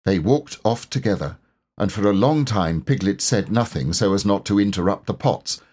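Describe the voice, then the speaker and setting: Low, expressive man reading a story. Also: normal speed, husky. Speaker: storybook reader, voice actor. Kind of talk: scripted children's story narration.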